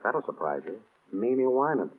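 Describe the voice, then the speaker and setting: An actor playing a wry, suave retired detective who questions briskly, in 1930s radio style. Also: male voice, medium pitch, sing-song, breathy edge. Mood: wry, probing. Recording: tinny, muffled 1930s radio recording.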